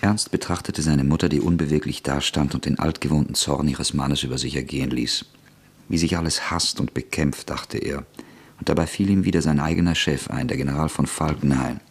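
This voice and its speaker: Very deep gravelly male narrator. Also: steady tone. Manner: measured, sober storytelling. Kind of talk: scripted radio drama narration.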